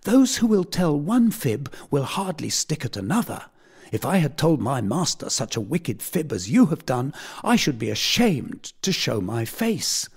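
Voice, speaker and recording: A man voicing a young boy who tells tales on another boy in a whiny, sobbing high voice. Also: big pitch swings, unhurried, creaky, slightly rough, exaggerated voice. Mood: tattling. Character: tattling tearful young boy.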